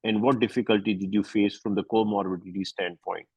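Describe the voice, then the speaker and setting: A man interviewing a patient, asking questions in a measured, hesitant way. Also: lowish voice, fairly even intonation, darkish tone, husky, no room sound.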